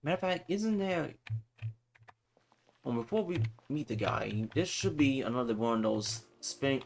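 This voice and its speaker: A man's voice: medium pitch, expressive, unhurried, creaky, slight background noise. A man giving casual, laid-back gameplay commentary as a live streamer, muttering his reactions.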